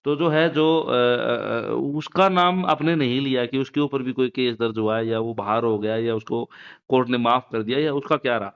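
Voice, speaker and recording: A man's voice: medium pitch, normal speed, smooth. A man conducting a news interview over the phone, asking questions in a hesitant, roundabout way with filler phrases. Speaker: interviewer, local news reporter. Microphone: phone call. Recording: muffled, lo-fi phone call recording.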